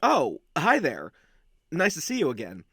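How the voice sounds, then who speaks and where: A man's voice: a little high, wildly swooping pitch, measured pace, fairly bright tone, slight background noise, exaggerated voice. A man playing an awkward, chatty young werewolf in a casual audio roleplay, rambling and blurting like a cheeky little brother. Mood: awkward, eager.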